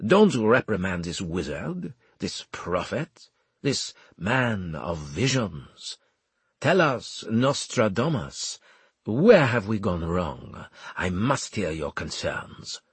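A man's voice: on the low side, big pitch swings, slow, husky, no echo, exaggerated voice. A man voicing a proud, theatrical military commander in an audiobook, with florid, mocking formal speech. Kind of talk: audiobook character acting.

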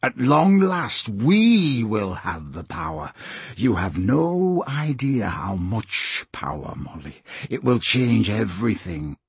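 A man acts out a gloating pirate villain for a storybook. The voice is very husky and rough-edged, swinging up and down as he taunts and threatens in coarse, ungrammatical speech. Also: fairly low pitch, very animated, slow, dry recording, exaggerated voice. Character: menacing, gloating grown male pirate villain with a knife.

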